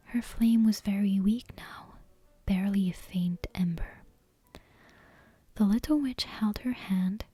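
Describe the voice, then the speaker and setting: A woman softly whispering a bedtime story in an ASMR style, close to the microphone. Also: mid-range voice, breathy edge, dry recording. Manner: soft, unvoiced whispering.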